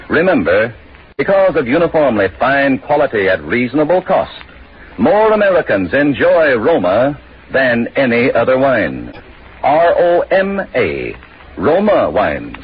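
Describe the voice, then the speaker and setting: A man reading a scripted 1940s radio advertisement with polished, emphatic salesmanship. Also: mid-range voice. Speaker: commercial announcer. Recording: muffled 1940s radio recording with faint noise.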